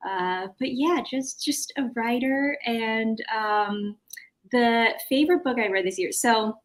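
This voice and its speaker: A woman's voice: fairly high pitch, takes it slowly. An American woman from California chatting casually as an interview guest, often interrupting herself as she searches for words. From California, United States.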